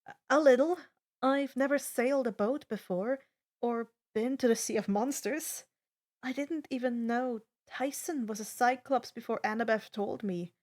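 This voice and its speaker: A woman's voice: fairly high pitch, moderate pace, darkish tone, close to an everyday voice. A woman voicing an earnest teenage demigod boy in a casual, hesitant manner. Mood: awkward, nervous.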